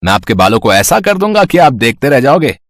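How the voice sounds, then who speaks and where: A man voicing a foolish, overconfident young barber's son, boastful and earnest, in a Hindi animated story. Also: medium pitch, brisk, no echo, clean studio sound. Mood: confident.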